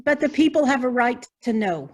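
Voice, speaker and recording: A woman acts as a nosy reporter in a stage farce, her voice very high. She is insistent and inquisitive, pressing her questions in an amateur theatrical way. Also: expressive, a little fast, a little mellow. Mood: assertive. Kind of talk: amateur stage farce acting over video.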